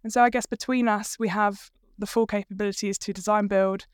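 A woman's voice: mid-range voice, steady tone, a little fast, clear, resonant tone, dry recording, studio quality. A British woman, from the UK, in her thirties, chatting casually as an interview guest with lots of fillers. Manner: chatty, many fillers and hedges. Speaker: guest, company co-founder.

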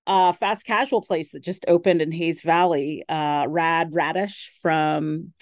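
A woman restaurant columnist chatting on a radio interview, full of "like" and "you know" fillers, with an enthusiastic tone whose pitch swings up and down. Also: steady tone, measured pace, no echo. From based in San Francisco area. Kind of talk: casual radio interview chat. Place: radio studio.

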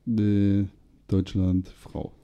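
A young man hosting a podcast, chatting in a relaxed, agreeable way with plenty of filler. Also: on the low side, very slow, clear, resonant tone. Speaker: podcast host. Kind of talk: casual chat.

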